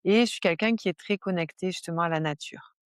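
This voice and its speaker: A woman's voice: lowish voice, fast, clean tone, dry recording. Southern french lady, middle-aged, lots of ums and restarts, dry close mic. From southern France (Var). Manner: hesitant, many fillers and restarts. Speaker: guest, stress management coach. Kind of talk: casual interview conversation.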